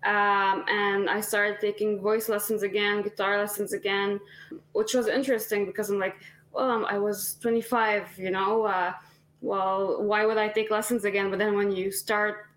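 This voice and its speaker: A woman in her twenties talks as a guest on a casual podcast. Her voice sits at an ordinary middle pitch. She rambles in a friendly way, full of fillers and restarts. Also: little pitch movement, normal speed, fairly bright tone. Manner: chatty, many fillers and restarts. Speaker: singer-songwriter guest.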